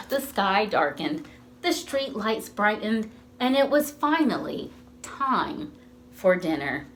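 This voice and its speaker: A woman's voice: high-pitched, slight background noise. A woman reading a picture book aloud to young children, expressively and with questions to the listeners. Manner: animated, slow, asks the listeners questions. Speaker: children's storytime reader at a library.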